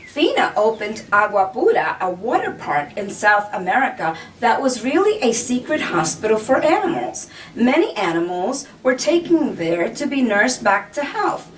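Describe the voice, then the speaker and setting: A woman reading a children's story aloud in a deliberate storytelling manner, pausing to point out pictures. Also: a little high, sing-song. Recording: distant mic, faint background noise.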